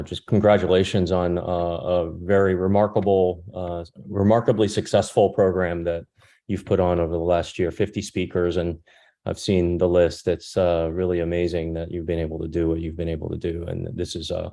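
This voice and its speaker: A man in his fifties whose first language is Korean gives an informal talk as a guest doctor. His voice sits very low and has a dark, mellow tone. He speaks in halting phrases, pausing partway through his thoughts. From born in South Korea, grew up in France and the United States. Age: 50s. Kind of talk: informal presentation about his career.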